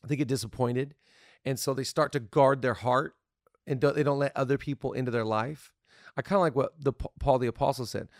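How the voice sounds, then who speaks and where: A man's voice: mid-range voice, fairly even intonation, dry recording, studio quality. Upbeat man hosting a podcast. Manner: upbeat, playful, quick to laugh. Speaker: podcast host. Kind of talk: casual podcast talk on leadership.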